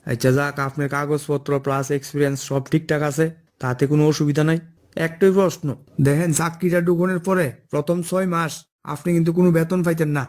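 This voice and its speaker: A man's voice: on the high side, level tone, quick pace, a little mellow, close to an everyday voice. A man voicing a firm, fair-minded forest officer in a cartoon, authoritative and matter-of-fact, with an eastern Bengali dialect. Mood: polite, official. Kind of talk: cartoon dialogue.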